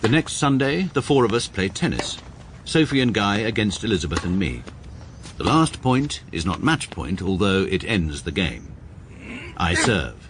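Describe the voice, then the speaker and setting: A man narrating in the first person, dry and deadpan. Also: lowish voice, normal speed. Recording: noisy radio recording.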